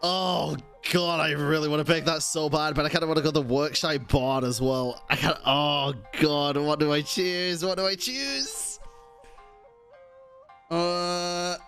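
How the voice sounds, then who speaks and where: A man's voice: a little high, measured pace. A man streaming a game with casual, exclamatory live reactions, often talking to himself. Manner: excitable, exclamatory, talks to himself. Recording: game music under voice.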